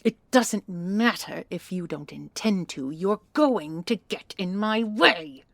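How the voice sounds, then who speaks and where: A woman performs a haughty female commandant in a sci-fi audio drama. Her voice is husky and full of fry, captured very dry and close. She speaks with sardonic, cutting confidence. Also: medium pitch, animated, slow, fairly bright tone, no room sound, exaggerated voice. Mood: defiant. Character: proud, sardonic female commandant and brilliant inventor. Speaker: voice actor and creator. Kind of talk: sci-fi audio roleplay acting.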